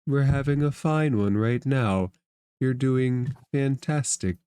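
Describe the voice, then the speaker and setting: A man voicing a condescending, deliberate merchant negotiator in a tabletop fantasy game. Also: medium pitch, warm tone, smooth, no room sound, clean studio sound, lightly acted. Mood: falsely reassuring.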